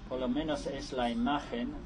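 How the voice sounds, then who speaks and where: A man interpreting conference speeches live, halting and restarting often. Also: mid-range voice, steady tone, background noise.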